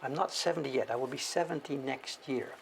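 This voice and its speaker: A man's voice: medium pitch, fairly quick, creaky, slight background noise. A man in his late sixties chatting casually on a livestream about his printmaking work, rambling and correcting himself and often calling himself by name. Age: 60s. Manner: rambling, self-correcting, talks about himself in third person.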